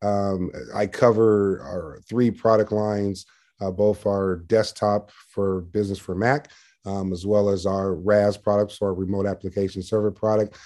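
Male sales manager as podcast guest: lowish, very mellow tone, assertive and projecting, conversational business talk. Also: level tone, unhurried. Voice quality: loud, projecting voice.

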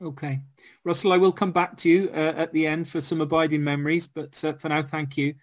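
A man's voice: a little high, level tone, brisk. A man hosting a group chat, asking polite, stumbling questions. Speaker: host, moderator. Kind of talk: moderating a group video chat.

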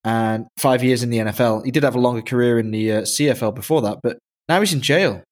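A man's voice: mid-range voice, fast talker. A man in casual conversation as a podcast co-host. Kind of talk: casual chat.

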